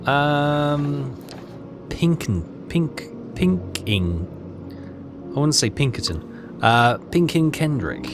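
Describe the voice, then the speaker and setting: A man giving casual game walkthrough commentary, reading text aloud and haltingly thinking out loud. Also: a little high, expressive. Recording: clear music behind the voice. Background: game music.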